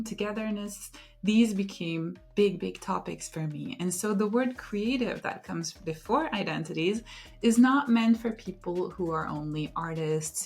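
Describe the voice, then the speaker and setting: A woman hosting her own podcast, introducing it in an earnest, unhurried way with thoughtful pauses. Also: mid-range voice, darkish tone. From Luxembourg. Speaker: podcast host. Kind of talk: reflective solo podcast intro.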